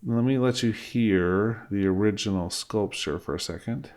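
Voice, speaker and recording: A man's voice: on the low side, a little slow, loud music behind the voice. A middle-aged man giving an informal screen-recorded tutorial, explaining things step by step as an instructor. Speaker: music software instructor.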